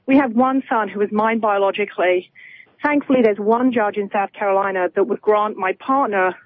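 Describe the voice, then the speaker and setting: A woman with a British accent, calling in to a radio talk show and speaking in an earnest, measured way. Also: mid-range voice. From Britain. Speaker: caller. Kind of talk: phone-in call. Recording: tinny phone line on radio.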